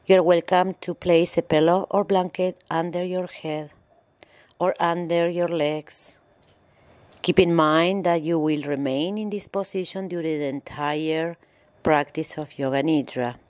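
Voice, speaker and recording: A woman yoga instructor narrating a guided meditation in measured, instructional phrases with pauses. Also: low-pitched, steady tone, darkish tone, no echo.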